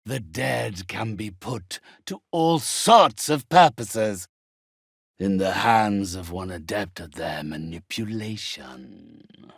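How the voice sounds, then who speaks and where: Slow, husky, haughty undead necromancer. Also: male voice, fairly low pitch, lively intonation, dry recording, studio quality, full character voice. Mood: haughty disdain. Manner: imperious, grandiloquent, slow and drawn-out menace. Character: haughty, sinister undead necromancer and spirit medium, male. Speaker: video game villain. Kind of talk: theatrical video game voice acting.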